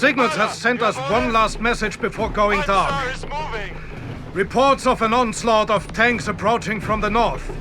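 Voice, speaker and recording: A man's voice: sits high, measured pace, music in the background. A man playing a grim, weary but resolute field commander in a video game, commanding and solemn. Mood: grave, urgent. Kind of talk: dramatic video game acting.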